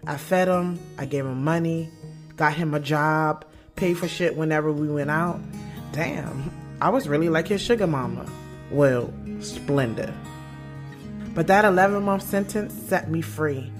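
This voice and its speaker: A woman's voice: deep voice, normal speed, close to an everyday voice. A woman playing a guarded, blunt young woman author in an audio drama, speaking frankly and with slangy sass about her love life. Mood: reflective, frank. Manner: candid, slangy, sassy. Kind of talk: first-person audio drama narration and dialogue. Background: music bed.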